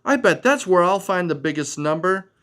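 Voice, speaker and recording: A man voicing an eager, wide-eyed, excitable little male butterfly in a storybook read-aloud. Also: high-pitched, moderate pace, clear, resonant tone. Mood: eager, curious. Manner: excitable, inquisitive.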